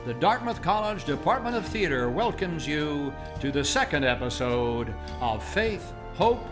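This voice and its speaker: A man narrating a radio play as announcer, in a measured, formal old-time radio manner. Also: a little high. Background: plucked guitar music.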